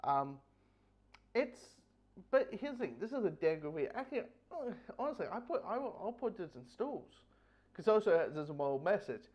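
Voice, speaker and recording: Halting man reviewing, slightly high voice, dull audio. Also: very animated. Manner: halting, rambling, repeats words and restarts often. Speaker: book review host. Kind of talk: casual unscripted review chat.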